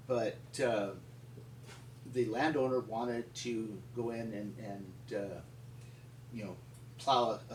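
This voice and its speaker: An American man telling stories in an unscripted, conversational way, with a rambling, halting delivery. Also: mid-range voice. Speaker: paleontologist and museum founder telling stories. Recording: echoey, distant mic with background noise.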